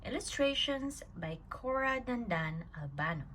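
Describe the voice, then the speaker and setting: A woman reading a children's picture book aloud at storytime, telling it with an expressive, swinging voice. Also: fairly high pitch, measured pace, a little hiss or hum. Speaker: storytime reader.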